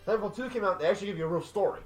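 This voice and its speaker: A man's voice: sits high, rapid-fire. A man co-hosting a casual podcast, talking emphatically and often repeating himself. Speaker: podcast co-host. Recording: distant from the mic.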